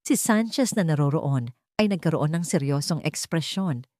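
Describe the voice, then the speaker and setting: Low-voiced Filipino woman narrating with lively pitch. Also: normal speed, no room sound, studio quality. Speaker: storyteller. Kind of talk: scripted dramatic audio story narration.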